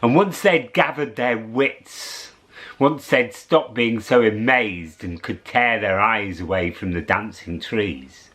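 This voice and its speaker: A middle-aged man telling a children's story, dramatic, dropping to whispers and rising high for effect. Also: fairly bright tone.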